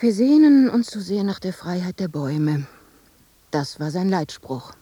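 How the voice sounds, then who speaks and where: An actress playing an earnest, devoted noble daughter in a literary radio drama, with formal, refined phrasing. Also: female voice, on the low side, sing-song, unhurried, over music. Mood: longing, urgent.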